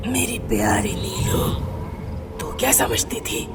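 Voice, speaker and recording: Casting: a gloating female ghost witch for horror animation, creaky whispers, very drawn-out threats. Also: mid-range voice, huge pitch swings, exaggerated voice. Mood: taunting. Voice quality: breathy whispering with cackling laughs. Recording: loud eerie music behind.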